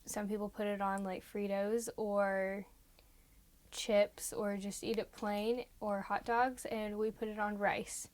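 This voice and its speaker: A woman's voice: medium pitch, little pitch movement, slow pace, darkish tone. A woman who grew up as an American in China, chatting casually and explaining things in a giggly way. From China, as an American expatriate child. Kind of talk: casual conversational storytelling.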